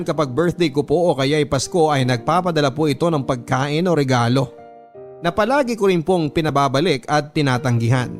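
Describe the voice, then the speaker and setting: Filipino man narrating over music, higher pitch. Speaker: radio drama narrator. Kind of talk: scripted first-person story narration.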